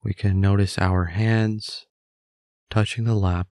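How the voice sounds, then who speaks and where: Calm guy doing guided meditation, really slow n gentle, bit of vocal fry. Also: fairly low pitch, no echo, studio quality. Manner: calm, measured, gently instructive.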